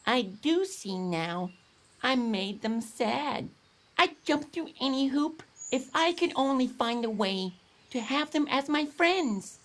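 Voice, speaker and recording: A man's voice: very high voice, lively intonation, a bit bright, pitched above the performer's own voice, heavily acted. A man voicing a naughty, misunderstood lemur in a plaintive, moaning storybook voice. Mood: remorseful, sad. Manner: plaintive, moaning and exclaiming, drawn-out. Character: naughty, misunderstood male lemur, mopey and indignant.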